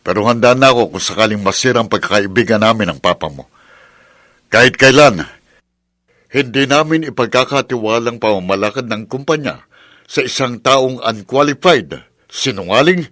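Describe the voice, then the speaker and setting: A man with a Filipino accent playing a stern, disappointed company boss and godfather in a drama reenactment, speaking in a deliberate, accusatory way. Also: medium pitch, lively intonation, a bit bright, no echo, clean studio sound, close to an everyday voice. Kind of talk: television legal drama reenactment acting.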